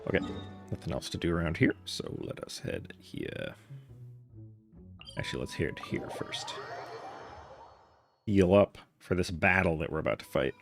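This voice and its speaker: A man with a low voice streams his playthrough. He comments casually and slangily in a laid-back manner, with game music clearly audible behind him. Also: big pitch swings, unhurried, pauses often.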